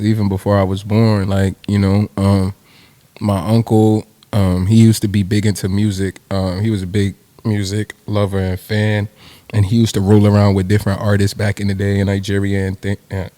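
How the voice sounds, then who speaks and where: Laid-back young rapper guest, dark low voice, dry close studio sound, slangy and profane. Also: male voice, no room sound. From New Jersey, USA. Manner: laid-back slangy, swears freely, laughs. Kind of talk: casual interview chat.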